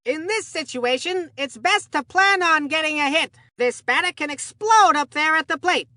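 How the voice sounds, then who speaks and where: An actor voicing an excitable, absent-minded kids' baseball game commentator who rambles in an earnest, folksy way. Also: high-pitched, moderate pace, dry recording, clean studio sound. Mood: upbeat, folksy. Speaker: sports commentator. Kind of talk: cartoon video game sports commentary.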